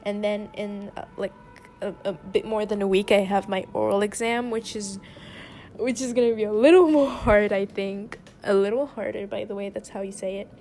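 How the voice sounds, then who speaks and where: A teenage girl with a French accent talks into her phone in a loose, rambling podcast, backtracking and restarting her thoughts as she goes. English is not her first language. Her voice is mellow and warm. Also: medium pitch, steady tone, moderate pace, slight background noise. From France. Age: teens. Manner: rambling, self-interrupting, chatty. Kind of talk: casual solo podcast.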